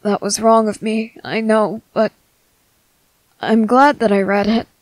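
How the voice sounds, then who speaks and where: A woman voicing a gentle young merman in an ASMR roleplay, hesitant, soft-spoken, earnest and apologetic. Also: mid-range voice, flat delivery, unhurried, no echo, natural voice. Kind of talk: ASMR roleplay, scripted character acting.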